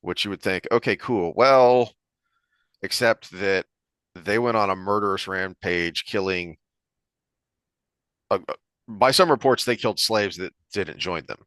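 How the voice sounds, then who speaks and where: Low-voiced man in a dry, close recording. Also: a little slow, long pauses, pushed and bright, dry recording. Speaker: podcast host discussing books. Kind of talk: conversational analytical discussion.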